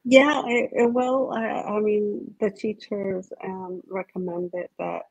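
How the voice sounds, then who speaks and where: A woman's voice: mid-range voice, level tone, slow pace, gravelly, slightly rough, dry recording. A middle-aged woman from Egypt with a slight Egyptian Arabic accent, speaking as a guest on a video call and often restarting her phrases. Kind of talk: casual video-call interview. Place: home office. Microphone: webcam on a video call. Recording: dull, lo-fi video-call audio.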